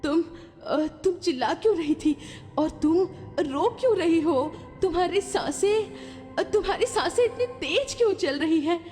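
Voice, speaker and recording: A worried mother acted by a woman speaks in a very high, swooping voice. She questions her child with hesitant, repeated phrases. The horror cartoon audio has long reverb over faint music. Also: lively intonation, unhurried, a little mellow. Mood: alarmed, concerned. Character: worried, doting mother, anxious then dismissive.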